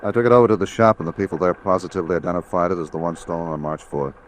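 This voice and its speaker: A man playing a police lieutenant in a vintage radio noir. His voice is extremely low and quick, with a clipped, matter-of-fact, official manner. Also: steady tone, slight background noise, close to an everyday voice. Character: brisk, businesslike male police lieutenant. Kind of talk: mid-century radio crime drama acting.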